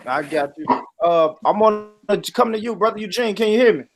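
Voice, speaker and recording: A man's voice: high voice, expressive, fairly quick, slight background noise. A man hosting a livestream group call, repeating himself as he calls on people and mutes mics. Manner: repeats himself while managing callers. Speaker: livestream host.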